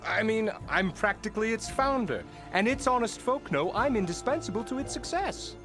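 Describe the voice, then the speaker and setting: An actor playing a pompous, self-important labour boss in a fantasy video game, bombastic, theatrical and mock-courteous in manner. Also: male voice, sits high, sing-song, normal speed, over music. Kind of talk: video game character acting.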